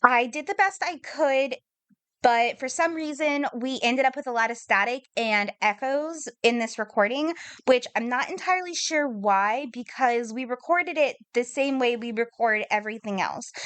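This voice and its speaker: A woman's voice: on the high side, a bit bright, clear, resonant tone, dry recording. A woman hosting a casual podcast, chatty and upbeat, laughing easily. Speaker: podcast host and editor. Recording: dry room, some static.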